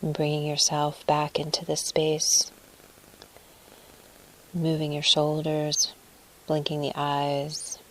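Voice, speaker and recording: A woman leading a guided meditation in a soft, breathy, soothing voice, phrasing deliberately with pauses. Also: low voice, monotone, rough recording.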